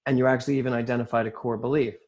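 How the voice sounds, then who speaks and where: A man's voice: medium pitch, flat delivery, racing, darkish tone, dull audio. A man leading a group coaching session, questioning members in a direct, probing way. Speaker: group leader.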